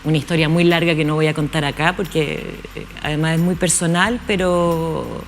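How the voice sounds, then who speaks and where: A woman in her fifties from Chile, a guest in a studio interview, speaking thoughtfully with many pauses and restarts. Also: low-pitched, steady tone, slight background noise. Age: 50s. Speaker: guest, actress. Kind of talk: relaxed interview conversation.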